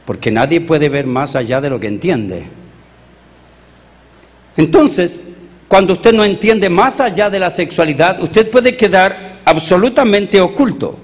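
A Chilean man, a pastor, preaching a sermon with animated rhetorical questions to his congregation. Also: sits high, measured pace, big-room sound.

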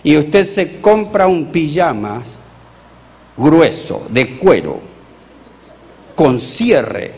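High-voiced Chilean pastor preaching, slow. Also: male voice, creaky, in a large hall. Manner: animated, rhetorical questions to the congregation. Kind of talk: sermon.

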